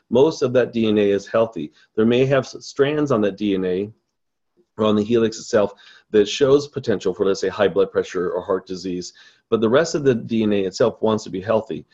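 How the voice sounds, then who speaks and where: Earnest qigong teacher on dull webinar audio. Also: male voice, fairly low pitch, darkish tone. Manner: earnest, rambling, with many fillers and restarts. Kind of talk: webinar presentation over slides. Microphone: voice-over on slides.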